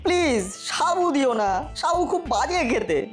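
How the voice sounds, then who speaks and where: Cartoon kid, female actor: put-upon ten-year-old boy, complaining, high and very animated. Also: moderate pace, faint music behind. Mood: grumbling. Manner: questioning, whiny complaining. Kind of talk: cartoon voice acting.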